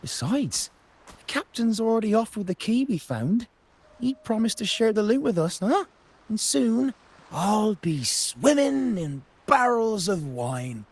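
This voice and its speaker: A greedy, boastful pirate lackey from a video game, with a high, husky, creaky voice. He draws his words out like a theatrical teller of tall sea tales. Music plays behind him. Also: sing-song, unhurried, darkish tone, exaggerated voice. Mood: pleading, scheming. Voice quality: raspy, gravelly. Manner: theatrical, exaggerated storytelling. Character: boastful, cowardly, greedy male pirate henchman spinning sea legends. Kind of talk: video game character acting.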